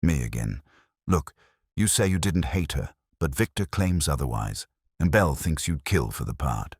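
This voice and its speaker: A cool male private eye, acted by an audiobook narrator, a man needles suspects with pleasant wisecracks. The voice is extremely deep and lively in pitch. The recording is dry. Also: normal speed, slightly rough, no echo, exaggerated voice. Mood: cool, needling.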